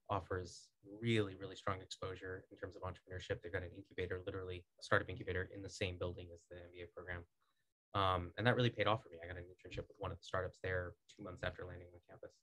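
Deep-voiced man, brisk and measured. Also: fairly bright tone, poor audio. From United States. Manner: measured, articulate, many "um"s and restarts. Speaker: guest. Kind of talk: casual interview talk. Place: small acoustic-foam podcast studio. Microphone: studio boom mic.

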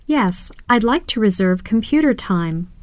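Slow, clear-speaking woman. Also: mid-range voice, lively intonation, dry recording, clean studio sound. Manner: slow, clear, careful enunciation. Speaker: voice actor in listening exercise. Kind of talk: scripted English listening dialogue.

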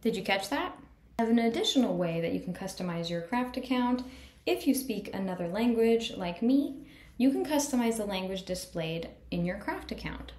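A woman giving an upbeat, friendly voice-over walkthrough of software settings. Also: mid-range voice, animated, normal speed, echoey room. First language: English. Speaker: product tutorial presenter. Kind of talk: screen-recorded software walkthrough.

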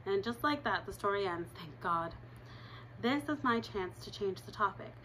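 A woman's voice: medium pitch, animated, normal speed, sharp tone, slight background noise, low-quality recording. A woman in her thirties reading a chapter book aloud in her living room, breaking off for chatty asides to her listeners. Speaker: reader.

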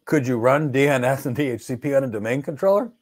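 A man's voice: mid-range voice, lively intonation, fast talker, darkish tone. Blunt middle-aged male tech instructor. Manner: blunt, emphatic, matter-of-fact. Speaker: networking instructor. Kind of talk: live-stream Q&A teaching. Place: indoor studio with virtual backdrop.

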